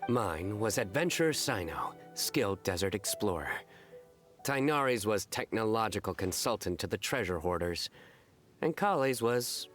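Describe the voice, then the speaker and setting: An actor playing a serious young adventurer in a video game, with a dry, matter-of-fact, deadpan delivery. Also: male voice, lowish voice, moderate pace, music in the background, natural voice.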